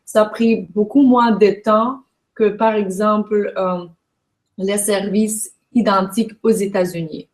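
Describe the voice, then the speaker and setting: A woman's voice: mid-range voice, fairly even intonation, a little slow, darkish tone, poor audio. Fluent woman explaining immigration steps. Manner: fluent, informative, occasional restarts. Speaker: immigrant describing her arrival. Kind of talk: casual video message.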